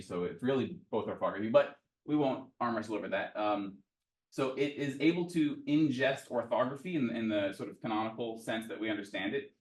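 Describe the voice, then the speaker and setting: A man who is a researcher giving an informal academic lecture, explaining things in a conversational way with rhetorical questions and asides. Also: on the low side.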